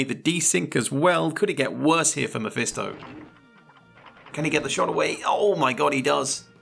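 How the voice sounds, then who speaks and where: A man commentating a video game match live, chatty and analytical, his voice a little croaky. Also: medium pitch, a bit bright. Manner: chatty and analytical, laughs often. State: slightly croaky voice. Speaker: esports commentator.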